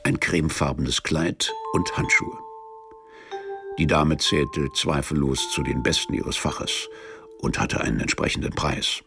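A man narrating a literary radio crime drama in a measured, deadpan manner, as a cool, sardonic storyteller. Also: a bass voice, creaky, heavily acted. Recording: music under the voice.

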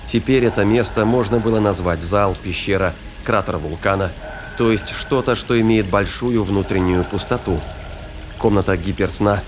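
A man's voice: lowish voice, noisy background. A man narrating a science fiction audio drama in a scripted storytelling style. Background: ambience and sound effects.

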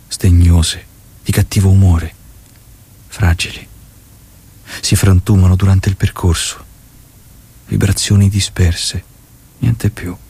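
An actor playing a tender, solemn revolutionary saying farewell in poetic, measured stage-drama style. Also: male voice, low-pitched, creaky, dark tone, breathy edge, exaggerated voice. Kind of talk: poetic stage-drama acting, reciting letters.

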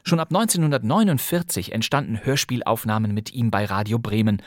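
A man hosting a radio-drama podcast, narrating a script in a lively, chatty way and speaking straight to the listener. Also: on the low side, fairly quick, dry recording, clean studio sound. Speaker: podcast host. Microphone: close studio microphone.

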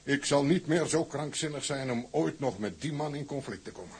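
A man playing a wary old tugboat owner in a period drama, husky and emphatic. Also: mid-range voice, lively intonation, moderate pace, darkish tone. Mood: wary, firm. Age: older. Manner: emphatic, repetitive refusals.

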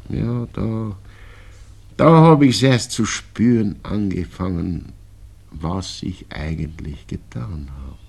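An actor playing a gentle, regretful old Viennese father in a radio drama, with a husky, gravelly voice and halting speech. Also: low-pitched, full character voice. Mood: regretful, sorrowful. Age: older. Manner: slow, halting, repeating words. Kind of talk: 1960s German radio drama acting.

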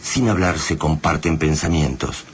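A man playing a grave, poetic narrator of a science-fiction radio play, with an Argentine accent and deliberate delivery. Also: extremely low pitch. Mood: grave, ominous. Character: solemn male narrator of a science-fiction invasion tale. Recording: radio drama with clear background noise.

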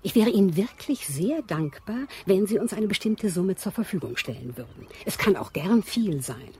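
An actress playing a kindly, scatterbrained woman who runs a home for wayward girls in a radio drama; she speaks earnestly and gets gently flustered. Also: medium pitch, lively intonation, moderate pace, husky.

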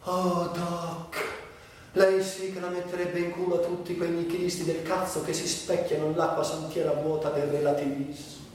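A man's voice: a little high, little pitch movement, moderate pace, a little mellow, natural voice. An actor playing a volatile, foul-mouthed former addict in a theatrical monologue, erratic, ranting and wheedling as he swings between muttering and shrieking. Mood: fawning, agitated. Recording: echoey, distant, faint background noise.

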